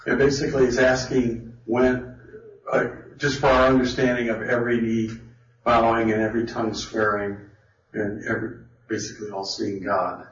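A man's voice: mid-range voice, little pitch movement, moderate pace, a bit bright. A man leading a Bible study and question session, talking informally and thinking aloud with restarts. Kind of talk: informal teaching talk. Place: meeting hall with an audience. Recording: roomy, distant, dull sound.

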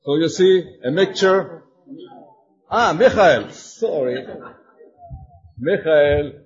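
A man from Austria presenting his congregation to an audience, hesitant and searching for words, a little excited. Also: on the high side, slow, lo-fi sound. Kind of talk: halting presentation to an audience with slides.

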